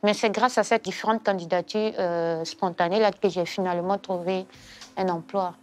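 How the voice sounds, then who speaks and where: A young woman from Ivory Coast, a social worker, answering interview questions in a measured way with frequent pauses. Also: lowish voice, fairly even intonation. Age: young adult. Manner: measured, hesitant with fillers. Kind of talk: casual interview. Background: soft music.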